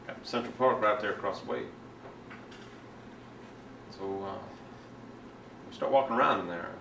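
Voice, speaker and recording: A man performing a dramatic monologue as a self-righteous, resentful young man, telling his story in a halting, colloquial, confiding way. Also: on the low side, vocal fry, fairly bright tone. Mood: casual, confiding. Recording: echoey room, distant mic, faint noise.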